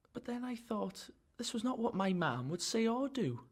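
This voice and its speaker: A man's voice: sits high, sing-song, measured pace, breathy edge, heavily acted. An actor playing a cheeky, chatty young man with a Welsh accent in a radio drama. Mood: amused. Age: young adult.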